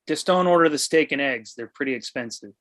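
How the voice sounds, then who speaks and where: A man's voice: mid-range voice, lively intonation, a little fast, a bit bright, dry recording, natural voice. A man playing a blunt, penny-pinching middle-aged father in a comedy script table read.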